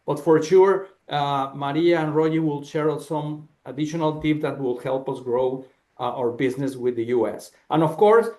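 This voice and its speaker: A man welcomes people to the session over a video call. His voice sits a little high for a man, and he halts often as he searches for his English words. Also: steady tone, moderate pace, warm tone. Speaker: host of the session. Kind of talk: formal welcome remarks.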